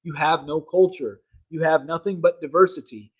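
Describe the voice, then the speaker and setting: A man's voice: on the high side, normal speed, slightly rough. A man hosting a casual opinion livestream, talking emphatically and rambling, correcting himself mid-sentence. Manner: reads articles aloud to viewers. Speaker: political livestream host.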